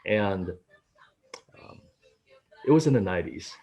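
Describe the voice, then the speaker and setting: A man in his late thirties from Taiwan, speaking from home over a video call. His voice is a little low and husky, and his stories get animated, with his pitch leaping up whenever he quotes someone. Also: a little slow. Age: late 30s. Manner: animated storytelling, voice jumps up when quoting. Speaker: panelist. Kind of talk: casual discussion on a video call. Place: home room. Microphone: laptop mic on video call.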